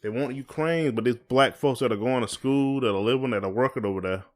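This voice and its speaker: A man's voice: medium pitch, quick pace. A middle-aged American man hosting a podcast, chatting in a colloquial, reflective, conversational way. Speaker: podcast host. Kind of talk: casual chat.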